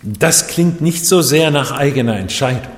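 A man from Japan preaching a sermon in a measured way, his voice rising and falling for emphasis. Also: medium pitch, animated. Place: church hall. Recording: echoey hall, voice set back.

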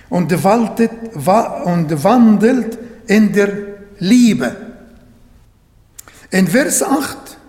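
A man preaching in German that is not his native tongue, with a fairly high voice and a slow, deliberate delivery. He repeats phrases and restarts sentences to drive each point home. The recording rings with long reverb, like a large church hall. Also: darkish tone. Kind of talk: sermon.

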